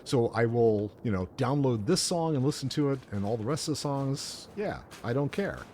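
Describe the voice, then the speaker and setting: A man's voice: medium pitch, animated, moderate pace, darkish tone, slight background noise. A man co-hosting a gaming show, chatting casually as he plays an online game. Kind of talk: casual chat while playing an online game.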